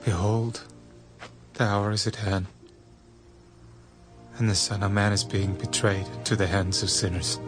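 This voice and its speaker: Grave, commanding holy teacher played by a man, earnest audio-drama delivery, music clearly underneath. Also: lowish voice, fairly even intonation, unhurried, pauses often, close to an everyday voice. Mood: sorrowful, resolute. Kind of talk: dramatized audio Bible acting.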